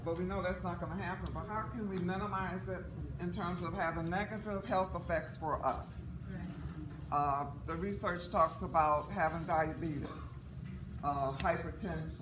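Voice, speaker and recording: A woman with a raspy voice presenting a workshop and quizzing the audience. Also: lowish voice. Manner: animated, questioning the audience. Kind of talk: interactive workshop talk. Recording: echoey room, distant mic, noisy.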